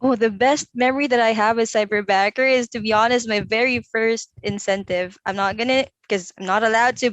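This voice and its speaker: A young woman speaks in a chatty, upbeat way, laughing often. Her voice sits fairly high. The recording is close and dry. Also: a bit bright, no echo. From Philippines. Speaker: interview guest. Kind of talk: casual online interview. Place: home room.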